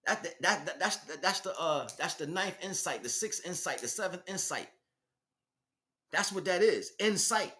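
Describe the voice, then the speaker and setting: A man's voice: high voice, expressive, moderate pace, bright, forceful tone. A man giving an impassioned, informal spiritual lecture in a blunt, emphatic street vernacular, often asking rhetorical questions. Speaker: spiritual teacher, speaker.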